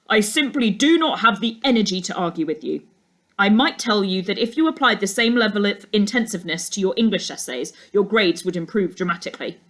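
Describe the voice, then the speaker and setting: A woman's voice: mid-range voice, fairly bright tone, natural voice. Stern, pompous male teacher for a storybook, exasperated and emphatic played by a woman.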